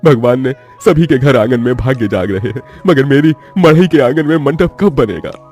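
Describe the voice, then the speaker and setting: A man's voice: mid-range voice, expressive, normal speed, a little mellow, soft background music, natural voice. A man playing a poor, anxious, humble middle-aged labourer father in a cartoon moral story, speaking in a pleading way. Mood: anguished worry.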